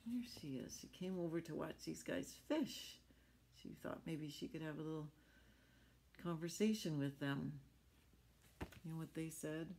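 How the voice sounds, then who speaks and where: Middle-aged woman, children's read-aloud, slightly low pitch, unhurried, chatty asides. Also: warm tone. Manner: explanatory, tag questions to young listeners. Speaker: storyteller reading a picture book aloud. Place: small furnished room at home.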